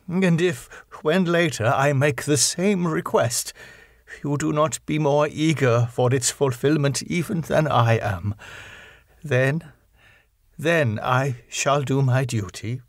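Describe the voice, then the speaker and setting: Man acting grave, slow-spoken elderly professor. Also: medium pitch, dry recording, studio quality. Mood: stern, resolute. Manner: solemn, deliberate, formal foreign phrasing. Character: grave elderly foreign professor, earnest, solemn, compassionate.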